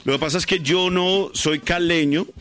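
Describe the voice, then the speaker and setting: A man from Valle del Cauca with a Valle del Cauca Colombian accent, co-hosting a radio show in playful, animated banter. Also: high-pitched, normal speed. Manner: animated, playful, drops into a sing-song local voice. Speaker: radio co-host. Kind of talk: joking morning radio banter. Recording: radio broadcast with music behind.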